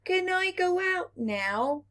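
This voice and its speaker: A woman's voice: very high-pitched, big pitch swings, slow, fairly bright tone, pitched above the performer's own voice, full character voice. A woman voicing a gloomy little boy planet in a children's storybook, pleading plaintively. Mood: pleading, sad.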